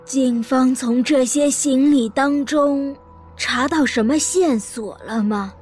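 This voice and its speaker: Slow-spoken, courteous old lady. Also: high-pitched, a little mellow, faint music behind. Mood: gently probing. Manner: courteous, measured. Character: composed, polite old lady, gently probing. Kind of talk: audio drama acting.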